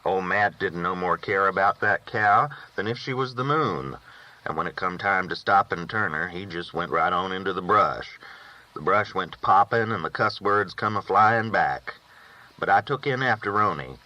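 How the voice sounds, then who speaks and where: A man's voice: deep voice, animated, moderate pace, bright, forceful tone. A man reading a novel aloud as a first-person storyteller, with folksy rural phrasing, on a dull recording. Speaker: audiobook reader.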